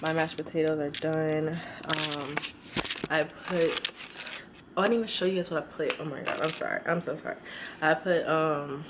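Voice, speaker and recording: A woman's voice: low-pitched, steady tone, moderate pace. A woman in her twenties casually narrating her cooking in a small home kitchen, rambling with many ums, filmed on a handheld camera. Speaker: vlogger. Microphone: handheld camera, close and moving. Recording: noisy kitchen with frying sounds.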